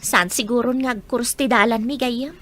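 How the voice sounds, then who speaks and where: A woman's voice: fairly high pitch, moderate pace, bright, forceful tone. A woman with an Ilocano accent acting a hardworking, generous mother in an audio drama. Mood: frank, wistful. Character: hardworking, generous mother, once abandoned by her husband.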